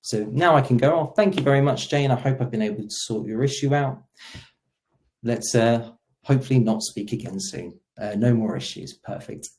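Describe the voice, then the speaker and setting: A man giving an explanatory software demo as a presenter, walking through it step by step. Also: mid-range voice, normal speed.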